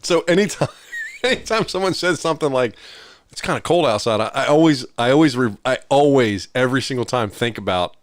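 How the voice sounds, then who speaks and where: A man's voice: mid-range voice, animated, fairly quick, no echo, studio quality. A middle-aged man co-hosting a podcast, chatting casually and telling stories in an informal way, acting out bits of dialogue. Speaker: podcast co-host. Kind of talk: casual chat, reminiscing.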